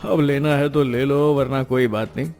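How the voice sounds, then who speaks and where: A man's voice: medium pitch, fairly even intonation, moderate pace, a little mellow, soft background music, lightly acted. A man voicing a brusque, take-it-or-leave-it market vendor in a cartoon story.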